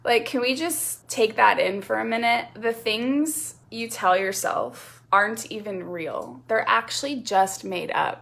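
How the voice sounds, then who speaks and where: A woman's voice: medium pitch, creaky, a bit bright. A woman yoga teacher hosting her own podcast, talking alone in an earnest, encouraging, self-reflective way, loose and conversational. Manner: loose, conversational, with fillers like "like" and "right". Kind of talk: solo podcast monologue.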